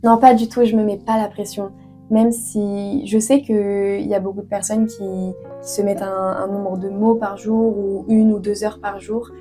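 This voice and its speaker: A woman's voice: mid-range voice, little pitch movement, a little slow, dark tone, faint music behind. A young woman in her twenties from France, answering interview questions earnestly with many hesitations and fillers. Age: 20s. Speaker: author guest.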